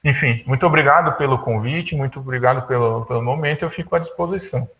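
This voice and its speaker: A man of about thirty gives an informal talk, colloquial and halting, often repeating words as he thinks. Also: medium pitch, fairly bright tone. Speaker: guest speaker, tech entrepreneur. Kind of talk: informal talk at an online conference.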